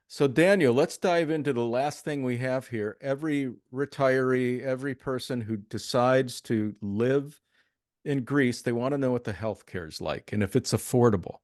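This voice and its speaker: A man hosting an interview, affirming his guest and asking questions in a steady, agreeable way. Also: medium pitch, no echo, studio quality. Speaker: interview host. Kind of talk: casual conversational interview.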